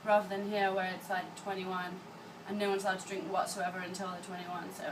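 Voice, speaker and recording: A woman's voice: mid-range voice, little pitch movement, fairly quick. A young woman, a student chatting casually in an interview. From Manchester, England. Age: young adult. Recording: distant mic with background noise.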